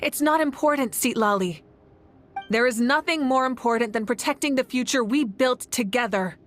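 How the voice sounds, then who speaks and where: A woman's voice: fairly high pitch, moderate pace, slight background noise, natural voice. A woman playing a resolute, selfless young leader in a video game, earnest and firm. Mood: tender resolve. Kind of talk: scripted video game voice acting.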